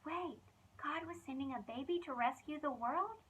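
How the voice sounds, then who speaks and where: A woman's voice: high voice, normal speed, fairly bright tone, lo-fi sound. A woman voicing a humble, awed young woman of faith in a storybook, earnest and full of wonder. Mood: awed, doubting.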